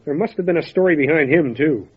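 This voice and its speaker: Steady county lawman, slightly high voice. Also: slightly rough, phone-quality sound. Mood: steady, pensive. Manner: serious, measured questioning. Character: calm, methodical small-town county lawman investigating a death. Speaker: voice actor. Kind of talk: old-time radio revival drama acting.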